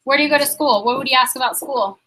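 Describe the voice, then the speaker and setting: A young woman leading a sales training meeting, upbeat and quizzing the room with quick questions. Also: high voice, pushed and bright, slight background noise, rough recording.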